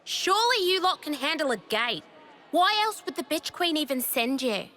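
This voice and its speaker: A brash, bright-voiced young rebel leader. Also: female voice, sits high, big pitch swings, normal speed, full character voice. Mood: commanding. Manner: blunt, cocky, taunting. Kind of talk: video game voice acting. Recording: game music behind the voice.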